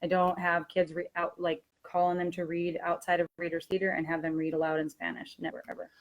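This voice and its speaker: A woman's voice: on the low side, flat delivery, fairly quick, low-quality recording. A woman who teaches languages, co-presenting a webinar in conversational talk and repeating words for stress.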